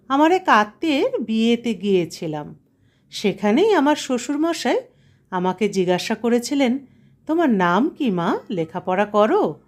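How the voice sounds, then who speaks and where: A woman reading a scripted first-person story in an expressive, sentimental manner. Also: medium pitch. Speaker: story narrator.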